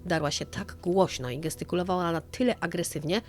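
Female narrator for a scripted crime audio story, lowish register, light music underneath. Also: brisk. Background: background music.

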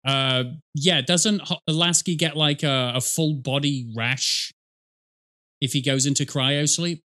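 A man's voice: on the high side, steady tone, slow pace, pushed and bright. A middle-aged man giving casual, sarcastic, nitpicky reaction commentary with some swearing. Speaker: reaction streamer. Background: game and cutscene audio with music.

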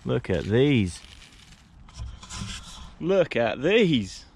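A young man foraging in woodland, quietly muttering amazed remarks into a camera he carries. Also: medium pitch, sing-song, very slow, vocal fry, slight background noise. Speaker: forager vlogger. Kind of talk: casual walk-and-talk narration. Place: outdoors in woodland. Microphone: action camera worn or handheld.

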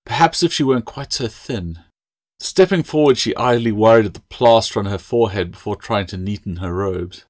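A man's voice: lowish voice, moderate pace, dry recording. A man reading his own fantasy novel aloud as audiobook narration.

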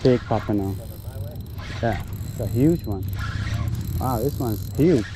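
A man filming himself fishing from a kayak, giving short excited remarks about his catch through a head-mounted camera. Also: mid-range voice, slow pace. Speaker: angler filming his own fishing trip. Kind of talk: casual running commentary. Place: kayak on open water outdoors. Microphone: head-mounted action camera. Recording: loud outdoor wind and water noise. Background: water and wind noise.

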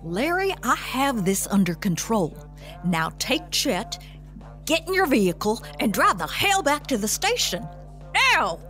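A woman's voice: a little high, sing-song, unhurried, fairly bright tone, soft background music. An actress playing a stubborn, commanding small-town policewoman in an audio drama, bossy and insistent.

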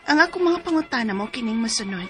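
A woman's voice: on the high side, animated, fast, faint music behind, natural voice. An actress with a Cebuano accent playing an aggrieved young nanny who narrates her problem letter in a radio drama. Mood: earnest, questioning. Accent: Cebuano Filipino. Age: young adult.

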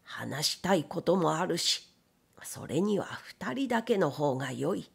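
A woman's voice: low voice, very animated, a little slow, vocal fry, breathy edge, full character voice. A woman voicing a stern, probing old factory owner in an audiobook, with blunt, imperious, old-fashioned speech. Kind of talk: audiobook character reading.

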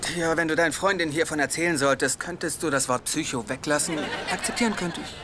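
A man's voice: a little high, quick pace, a crowd in the background, background noise, natural voice. An actor dubbing a nervous, lovestruck young single man who rambles awkwardly in a German sitcom dub. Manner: awkward, rambling, self-mocking.

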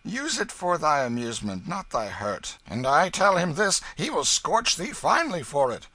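A man's voice: fairly high pitch, big pitch swings, moderate pace, bright, forceful tone, no echo, pitched above the performer's own voice, heavily acted. A man voicing a wily, bullying beggar-thief in a storybook, blustering and cajoling in archaic rogue's speech.

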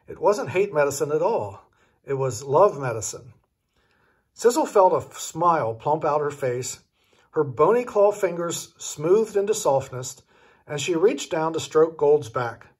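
An older man reads a children's story aloud with a very animated delivery. His voice is husky and sits fairly high for a man, like a grandfather at storytime. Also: a little slow.

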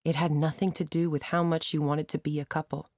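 A woman reading the part of an impulsive, slightly drunk man who loudly proposes marriage, speaking with theatrical force. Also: fairly high pitch, level tone, brisk, no room sound, clean studio sound, close to an everyday voice. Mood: gentle. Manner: loud, theatrical shouting.

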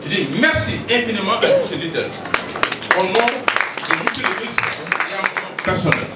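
A man's voice: high-pitched, sing-song, brisk, over a noisy crowd. Older Senegalese man giving a solemn official speech. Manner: solemn, ceremonious, reading aloud. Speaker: official speaking for the minister. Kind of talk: formal speech read from notes. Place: large echoey hall. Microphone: handheld mic, filmed from a distance. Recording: echoey hall, distant, noisy.

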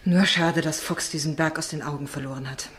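A determined defence lawyer played by a woman in a radio crime play. Her voice is rather low and composed, over faint background noise. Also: steady tone, brisk, close to an everyday voice. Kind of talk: German radio crime drama acting.

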